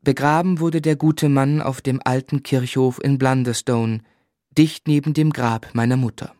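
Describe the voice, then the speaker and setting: A man acts the first-person narrator of a radio drama, an earnest young gentleman. His voice sits at medium pitch and he tells the tale in measured, sensitive, literary phrases. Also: fairly even intonation, no room sound, clean studio sound, close to an everyday voice. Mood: sombre.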